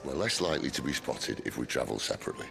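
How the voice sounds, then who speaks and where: An actor playing a wry, middle-aged rebel leader in a dramatic video game, dry and teasing in manner. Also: male voice, extremely low pitch, animated, racing, full character voice. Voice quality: gravelly. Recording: loud music under the voice.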